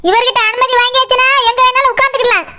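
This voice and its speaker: A performer voicing a scolding, sarcastic village woman in a comedy cartoon, with a Kanyakumari Tamil accent and an artificially squeaky voice. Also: little pitch movement, very fast. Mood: scornful, scolding. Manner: sarcastic, nagging, scornful. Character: scolding, sarcastic village woman, sharp-tongued elder sister and mother. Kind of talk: comedy cartoon dialogue in colloquial dialect. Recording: very noisy track with clicks.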